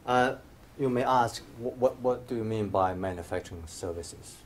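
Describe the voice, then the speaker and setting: Low-voiced man giving a hesitant lecture. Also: moderate pace, a little hiss or hum. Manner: hesitant, many fillers and restarts. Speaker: invited speaker, professor. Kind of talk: conference lecture. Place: dim lecture room, standing by projector screen.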